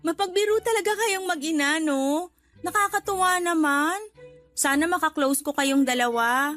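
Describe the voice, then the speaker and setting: High-voiced, friendly well-off Filipina neighbour. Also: female voice, moderate pace, fairly bright tone, soft background music. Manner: chatty, accommodating, English words mixed in. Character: friendly, well-off woman neighbour, unwittingly boastful and generous. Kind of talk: Tagalog radio drama acting.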